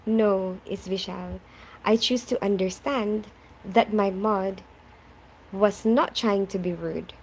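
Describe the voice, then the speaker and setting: A young woman who grew up in the Philippines hosts a casual live stream, greeting viewers by name and replying to comments with frequent laughs. Her voice sits at a middle pitch, and she talks through a home mic. Faint background noise runs underneath. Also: fairly even intonation, slow pace, a little mellow, poor audio. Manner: chatty, reads viewer names and answers them, laughs often.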